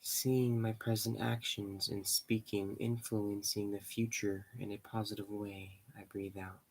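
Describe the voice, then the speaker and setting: A man leading a guided meditation in slow, measured short phrases with pauses between them. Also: on the low side, level tone, slight background noise, rough recording.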